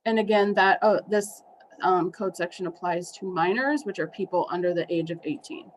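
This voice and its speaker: A woman's voice: on the low side, moderate pace, a little hiss or hum, rough recording. A woman with an American accent presenting a legal training, speaking with ums and an occasional wry remark. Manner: wry, with brief laughs and ums. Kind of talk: slide-based legal training.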